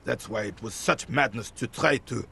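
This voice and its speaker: Old male royalist soldier, very gravelly, sharp bright edge, pompous, rigid, game character. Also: mid-range voice, moderate pace, slight background noise. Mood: proud, fervent. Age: older. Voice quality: gravelly, raspy. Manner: pompous, emphatic. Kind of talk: video game voice acting.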